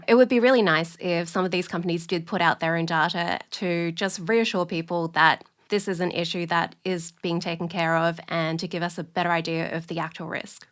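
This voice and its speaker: A woman speaking as an expert guest in an interview, explaining in a measured, matter-of-fact way. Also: lowish voice.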